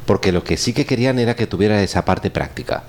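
A man with a Spanish accent from Spain talks casually as a guest instructor, halting and often digressing or correcting himself. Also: fairly low pitch, darkish tone. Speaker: guest, course instructor and audio documentary maker. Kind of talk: casual round-table talk.

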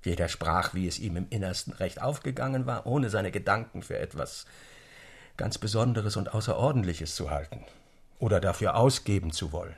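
A reflective, earnest literary gentleman speaks solemnly in a radio drama. His voice is low, creaky and rough, with a wistful manner. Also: full character voice. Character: wistful, earnest literary friend giving a toast.

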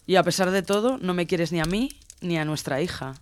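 A woman's voice: fairly low pitch, fairly quick, a little hiss or hum, close to an everyday voice. A woman playing a possessive, abusive lover in an audio drama, pressing her partner with insistent, pleading questions. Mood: wounded, pleading.

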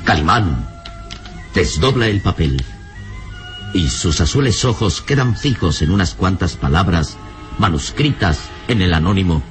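A man's voice: deep voice, expressive, measured pace, soft background music. A man narrating an old radio serial from a script, in a florid, solemn, theatrical manner. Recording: noisy old radio recording.

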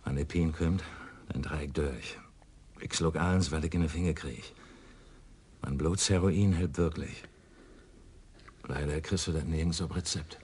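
An actor playing a troubled rural police adjutant who speaks in a radio crime drama. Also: male voice, extremely low pitch, unhurried, pauses often, a little mellow, breathy edge, full character voice.

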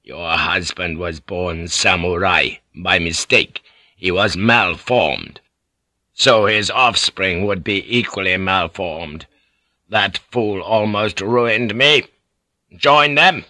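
Male narrator playing scornful warlord, forceful bright edge, stern, commanding, cutting, dry storybook sound. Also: sits low, slow pace, dry recording, exaggerated voice. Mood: disgusted, commanding. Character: imperious, scornful male feudal lord.

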